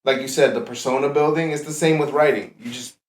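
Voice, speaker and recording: A young man hosting a casual podcast. He speaks earnestly and fairly softly at a middle pitch. The room is echoey around him. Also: steady tone, fast talker. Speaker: podcast host. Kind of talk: casual chat.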